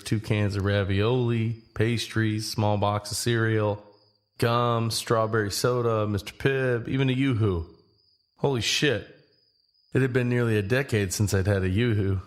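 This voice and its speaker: A man's voice: fairly low pitch, a little hiss or hum, lightly acted. A man playing a laid-back young traveler, telling his story in a plain, conversational first-person narration. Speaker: narrator and lead. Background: guitar music.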